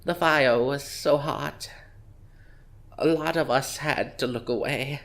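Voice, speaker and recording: A man's voice: mid-range voice, takes it slowly, a bit bright. A man playing a chatty, evasive middle-aged grandmother in an audio drama, speaking hesitantly and conversationally. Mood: flustered, friendly.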